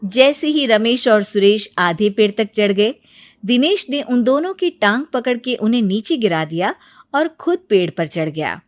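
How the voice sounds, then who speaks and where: A woman's voice: on the high side, animated, a little slow, no echo, studio quality. A woman narrating a scripted children's moral story as the storyteller.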